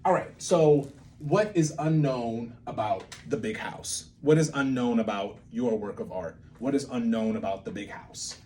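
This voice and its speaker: A man's voice: mid-range voice, measured pace, warm tone, a little hiss or hum. A man giving an informal whiteboard lesson, thinking aloud in a rambling way and often interrupting himself. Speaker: creative methods teacher and playwright.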